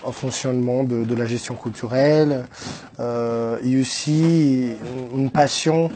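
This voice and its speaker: A man's voice: medium pitch, steady tone, unhurried, dark tone, a little hiss or hum. Hesitant man speaking French. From Brazil. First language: Portuguese. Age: 30s. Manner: hesitant, many fillers and restarts. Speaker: guest, entrepreneur. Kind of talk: radio interview, telling his life story.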